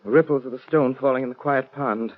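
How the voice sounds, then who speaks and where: An actor playing a suave, resolute gentleman sleuth with a grave, theatrical manner in a 1930s radio drama. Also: medium pitch, quick pace, close to an everyday voice. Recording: dull vintage 1930s radio recording.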